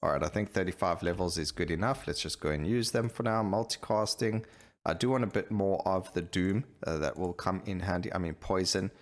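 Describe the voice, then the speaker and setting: Low-pitched man doing gameplay commentary. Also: a little fast. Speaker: gaming video host. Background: game music.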